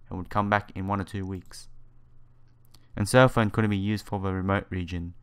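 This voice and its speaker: A man's voice: low voice, clean tone. A man doing a game playthrough, reading the story text aloud with casual asides and stumbling over some words. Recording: game music behind the voice.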